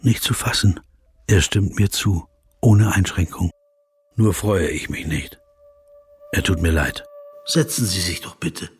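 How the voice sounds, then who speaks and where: A reflective man in a radio drama, with a very husky, lowish voice. He questions in a measured, insistent way, sceptical but compassionate, and narrates his own thoughts. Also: full character voice. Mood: quiet pity. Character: reflective male interviewer of a famous man, probing, sceptical, compassionate.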